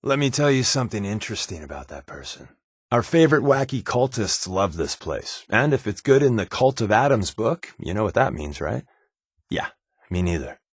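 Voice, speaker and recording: A man's voice: lowish voice, big pitch swings, moderate pace, husky, no echo, clean studio sound, full character voice. A man playing a sly, wry undercover resistance agent in a video game, talking in a casual, glib, sardonic way. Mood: joking, sardonic. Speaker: video game companion character. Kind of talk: scripted game dialogue, conversational.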